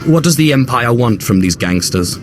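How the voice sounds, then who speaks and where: A man's voice: medium pitch, animated, moderate pace, close to an everyday voice. A man playing a commanding, ruthless Sith lord in a video game, with a curt, menacing and imperious manner. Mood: demanding. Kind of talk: dramatic video game dialogue. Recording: very loud music behind.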